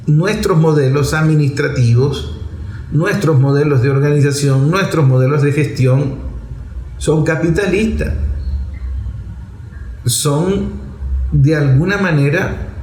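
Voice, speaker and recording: A man's voice: a little high, a little mellow. A man with a Venezuelan accent giving off-the-cuff political commentary in a didactic way, repeating phrases and posing rhetorical questions. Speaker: political commentator addressing an online audience. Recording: roomy echo with faint background noise.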